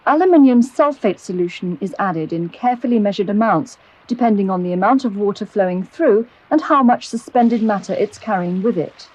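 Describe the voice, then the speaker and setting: A British woman reading scripted narration for an educational film, explaining in a clear, measured way. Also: medium pitch, expressive, a little mellow, highs cut off. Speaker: documentary narrator. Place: close, dry voice-over recording.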